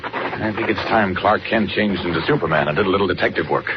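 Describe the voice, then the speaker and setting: An actor playing a brave, commanding superhero in a 1940s radio serial, thinking aloud in urgent declamatory lines. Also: male voice, low voice, fast talker, natural voice. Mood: urgent, determined. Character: male superhero reporter in secret detective action, brave and commanding. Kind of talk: 1940s radio serial acting, narrating his own actions. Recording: noisy, muffled 1940s radio recording.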